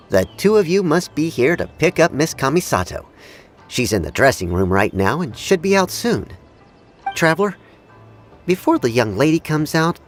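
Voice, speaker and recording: A man playing a humble, loyal samurai retainer in a video game, speaking formally and deferentially with emphasis. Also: mid-range voice. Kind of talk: video game cutscene dialogue.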